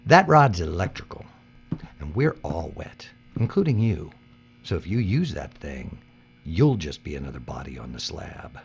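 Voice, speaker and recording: A man's voice: rumbling low, very animated, normal speed, gravelly, full character voice. A man playing a weary, desperate worker with simmering hatred in an audio drama, speaking with intensity. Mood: cold resolve. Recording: muffled audio with faint hum.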